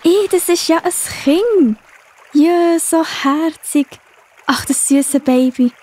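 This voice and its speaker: A woman's voice: extremely high pitch, takes it slowly, dark tone. A woman playing a kind, tender-hearted young princess in a children's audio drama, exclaiming and cooing. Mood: delighted cooing.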